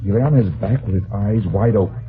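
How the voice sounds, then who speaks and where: Man acting hard-boiled detective, low voice, terse and sardonic, suspicious, old radio crime drama, tinny sound. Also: fast talker, natural voice. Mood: grim, watchful. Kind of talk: old-time radio detective drama with first-person narration. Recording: tinny band-limited old radio recording.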